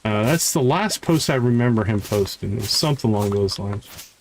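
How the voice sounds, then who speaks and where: A man's voice: fairly low pitch, expressive, fairly quick, a little hiss or hum, poor audio. A man casually commentating his live gameplay, talking to himself in short remarks and repeating words as he thinks. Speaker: game streamer. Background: music.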